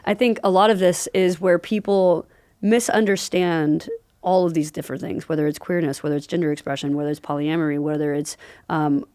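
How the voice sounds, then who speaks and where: A woman's voice: deep voice, steady tone, brisk, darkish tone, clean tone, no echo, studio quality. A woman hosting a podcast in casual conversation, enthusiastic and affirming, leaning on "I feel like" and "you know". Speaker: podcast host.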